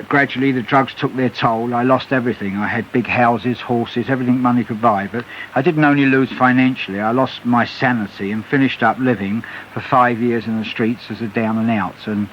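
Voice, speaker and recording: Middle-aged man, earnest and emphatic interview guest, candid testimony, dull radio sound, faint hiss. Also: mid-range voice. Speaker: interview guest, author and recovered addict. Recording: muffled hissy shortwave-style radio broadcast.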